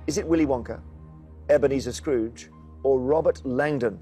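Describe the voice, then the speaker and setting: A man's voice: mid-range voice, normal speed, warm tone, soft background music. Man hosting a TV quiz. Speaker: quiz show host. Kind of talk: scripted game show presenting. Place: television studio.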